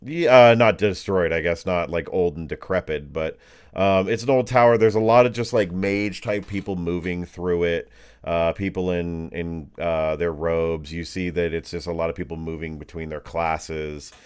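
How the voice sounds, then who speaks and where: A man working as a game master, improvising tabletop narration casually and haltingly, searching for words. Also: low voice, steady tone, clean tone.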